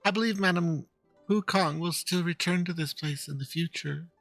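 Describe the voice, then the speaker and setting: A man's voice: fairly high pitch, moderate pace, gravelly, a bit bright, dry recording, faint music behind, voice pushed up, low-quality recording. A man voicing a gracious, formal and wistful woman in a dramatic reading of game dialogue. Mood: polite, composed. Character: gracious, wistful adult woman, a dignified mother.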